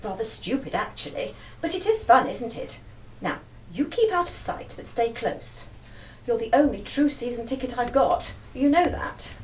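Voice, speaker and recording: Woman playing a high-voiced, plucky young English lady sleuth. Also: animated, close to an everyday voice. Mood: playful, determined. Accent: British English. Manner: brisk, breezy, old-fashioned genteel phrasing. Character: clever, plucky, teasing young lady amateur detective. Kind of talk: live radio play acting. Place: live stage performance recorded from the audience area. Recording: distant echoey hall, faint noise.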